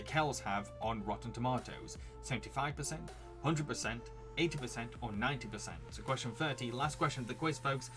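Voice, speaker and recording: British male streamer, Yorkshire mixed with posh vowels, giggly quiz host reading chat, mid pitch, light music bed. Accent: Yorkshire mixed with posh Received Pronunciation. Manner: chatty, giggly, many restarts, answers chat.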